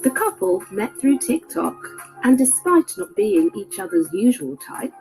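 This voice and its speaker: A woman narrating a news report in a slow, lilting manner. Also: a little high, sing-song, dark tone, soft background music, low-quality recording.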